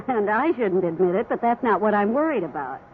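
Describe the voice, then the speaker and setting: A woman's voice: mid-range voice, sing-song, fairly quick, lightly acted. Casting: female actor for middle-aged American wife, doting and fussy, fifties radio drama, narrow vintage sound. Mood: fond, fussing. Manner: affectionate, mildly nagging. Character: fond, fussing middle-aged wife, gently teasing. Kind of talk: 1950s radio drama acting. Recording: band-limited 1950s radio recording with hiss.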